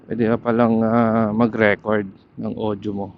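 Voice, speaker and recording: A man with a Filipino accent vlogging casually while riding a motorcycle, pausing often to search for words, speaking into a helmet intercom mic. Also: lowish voice, level tone, lo-fi sound, a little hiss or hum. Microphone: helmet intercom headset.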